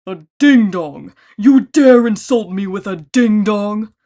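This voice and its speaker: Woman voicing a booming giant brute, domineering, roaring orders, storybook villain. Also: a little slow, darkish tone, natural voice. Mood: enraged. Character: huge malevolent male giant warlord, domineering.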